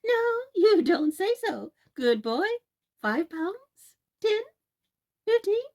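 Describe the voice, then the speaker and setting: A woman voicing an excitable, scolding poor widow in a children's storybook, dramatic and exclaiming. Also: extremely high pitch, slow, voice pushed up, exaggerated voice. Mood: eager, excited.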